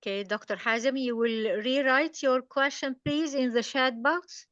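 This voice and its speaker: A woman moderator speaks slowly in a smooth, clear voice, giving short prompts for questions. The sound is dry and lo-fi, like a video call. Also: high-pitched, a bit bright, no echo. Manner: brief, prompting. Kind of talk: moderating a webinar. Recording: dull lo-fi dry online audio.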